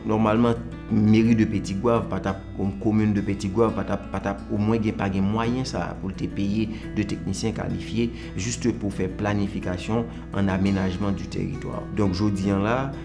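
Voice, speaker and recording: A man's voice: lowish voice, level tone, moderate pace, vocal fry. A man with a Haitian accent giving interview remarks for a documentary. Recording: music bed behind voice.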